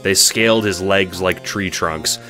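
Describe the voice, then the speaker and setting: Casting: male narrator, low and bright-edged, solemn delivery of an epic script. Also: measured pace. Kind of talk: dramatic scripted story narration. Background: instrumental music with guitar.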